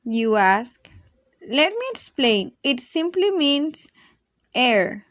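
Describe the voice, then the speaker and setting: A woman reading a children's story aloud in an expressive, dramatic storytelling manner. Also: sits high, slow, no echo, clean studio sound. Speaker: storybook reader.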